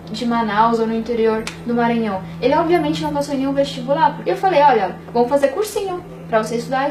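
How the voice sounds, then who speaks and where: A young woman from Bahia in Brazil, talking in a casual interview, animated and often tagging on "sabe?". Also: sits high, far from the mic, noisy background. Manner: fast, animated, peppered with "sabe?". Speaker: interview guest.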